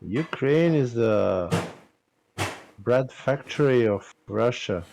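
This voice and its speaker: A man from Serbia with a Serbian accent, chatting casually in English and pausing often to find his words. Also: medium pitch, lively intonation, creaky, noisy background. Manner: slow, halting, searching for English words. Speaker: streamer. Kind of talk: casual chat.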